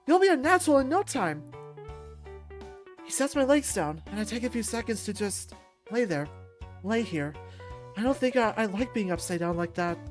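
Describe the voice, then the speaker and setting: A woman's voice: mid-range voice, lively intonation, moderate pace, a little mellow, faint music behind. A woman reading a visual novel aloud as a narrator.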